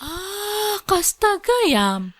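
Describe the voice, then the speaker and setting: Young Ilocano village woman, high voice, coy, hesitant, hardworking, radio drama. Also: sing-song, drawn-out words, a bit bright, exaggerated voice. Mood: resigned.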